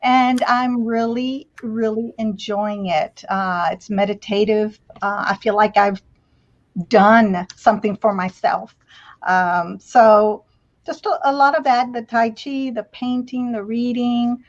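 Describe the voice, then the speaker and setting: A woman chatting casually as a panelist, in a halting, unhurried way. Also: fairly high pitch, steady tone. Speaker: children's author, panelist. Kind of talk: casual chat. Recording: dull, lo-fi call audio.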